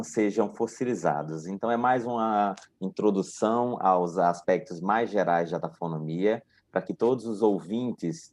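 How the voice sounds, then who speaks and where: Deep-voiced Brazilian man, slow and hesitant. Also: darkish tone. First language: Portuguese. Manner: slow, hesitant, frequent drawn-out pauses. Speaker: guest lecturer. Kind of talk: academic seminar talk. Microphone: video call.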